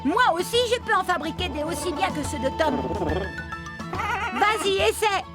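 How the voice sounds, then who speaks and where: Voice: very high voice, animated, normal speed. An actor voicing an eager, cheeky child character in a children's farm cartoon, with bouncy, encouraging delivery. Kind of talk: French animated children's series dialogue. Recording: music behind the voice.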